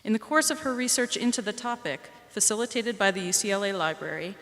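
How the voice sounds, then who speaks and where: A woman presenting awards at a ceremony, reading a mentor's statement aloud in a measured, formal way. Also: medium pitch, expressive, a bit bright. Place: library ceremony hall. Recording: long hall reverb.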